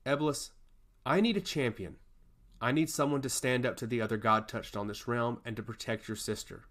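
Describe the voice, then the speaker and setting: A man's voice: medium pitch, fairly quick, a bit bright, close to an everyday voice. Man voicing a solemn, earnest goddess of law. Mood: imploring. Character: solemn, curious goddess of law, weary judge of souls.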